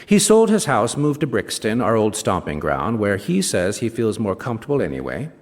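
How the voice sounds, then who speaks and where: A smug novelist, swooping dramatically. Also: male voice, on the low side, huge pitch swings, normal speed. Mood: self-satisfied. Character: smug ageing novelist recounting his betrayal of a friend. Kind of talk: dramatic short story reading on stage. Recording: reverberant hall, live stage reading.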